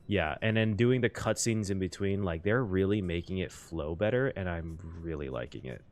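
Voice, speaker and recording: A man's voice: low voice, expressive, normal speed, a little hiss or hum. A man giving casual, effusive game reaction commentary as host, emotive and repeating phrases for emphasis.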